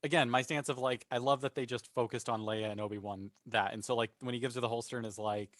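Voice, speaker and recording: A man's voice: medium pitch, steady tone, quick pace, fairly bright tone, dry recording, clean studio sound. A man on a podcast panel giving opinions informally and emphatically, often repeating himself. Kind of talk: casual opinionated chat.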